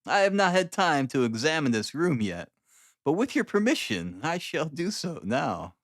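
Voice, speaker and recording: Man playing sardonic gentleman detective, formal, ironically polite, very animated higher pitch, audiobook mystery. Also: normal speed, no room sound, studio quality. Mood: courteous, assured. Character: brilliant, sardonic gentleman detective, coolly courteous.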